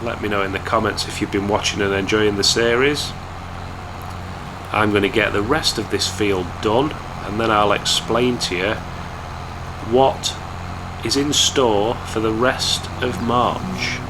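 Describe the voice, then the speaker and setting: A young man from England with a hoarse voice from a cold, chatting casually to viewers while commentating a game. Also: lowish voice, unhurried, noisy background. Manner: chatty, relaxed, talks straight to viewers. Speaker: gaming YouTuber.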